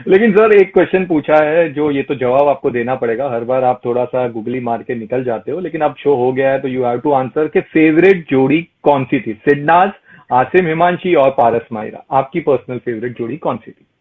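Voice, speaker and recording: A man's voice: medium pitch, moderate pace. A man with an Indian accent casually putting questions as an entertainment radio interviewer. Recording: muffled, radio-like lo-fi sound.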